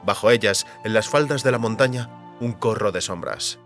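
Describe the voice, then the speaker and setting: A man reading scripted literary narration for an audiobook with measured, deliberate phrasing. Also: lowish voice, fairly bright tone. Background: faint music.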